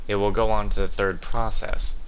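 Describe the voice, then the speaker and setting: A man's voice: on the low side, little pitch movement, moderate pace, slight background noise, lightly acted. A man voicing a chatty young male inventor in a video game, explaining things theatrically. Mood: proud. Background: game music.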